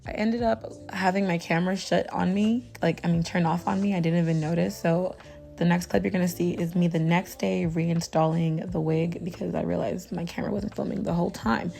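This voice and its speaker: A young woman with a hoarse, raspy voice from tonsillitis, talking through a hair tutorial in a casual, chatty way with lots of "you know.". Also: on the low side, a little fast, faint music behind. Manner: chatty, relaxed, full of fillers like "you know". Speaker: beauty vlogger.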